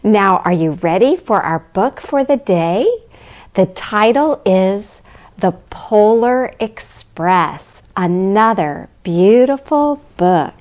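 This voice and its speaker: A woman plays Santa's kindly wife as a storybook narrator for young children. The delivery is gentle and cheerful, taken at a leisurely pace. The melody rises and falls with plenty of expression. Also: mid-range voice, lively intonation, takes it slowly. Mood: cheerful, inviting. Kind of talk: children's storybook read-aloud.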